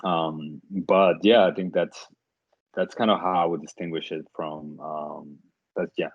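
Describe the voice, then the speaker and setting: A man's voice: low voice, steady tone, measured pace, breathy edge, no room sound, highs cut off. A man in his thirties from the Dominican Republic, a startup CEO answering interview questions thoughtfully, with many fillers and restarts.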